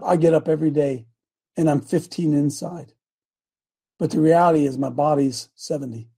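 A man in his sixties preaching a sermon, fervent and repetitive, building to shouts. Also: on the high side, gravelly, husky. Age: 60s.

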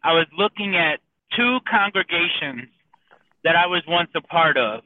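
A man's voice: fairly high pitch, animated, normal speed. A man joining a church discussion, earnest and reflective. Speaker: group member. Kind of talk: casual discussion. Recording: tinny phone line.

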